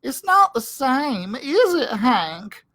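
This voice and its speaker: A man's voice: extremely high pitch, big pitch swings, slow pace, bright, forceful tone, voice pushed up, full character voice. A man voicing a small, timid, naive male dog in a children's storybook, with a thin, whiny, plaintive delivery. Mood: glum, wistful. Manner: plaintive, hesitant.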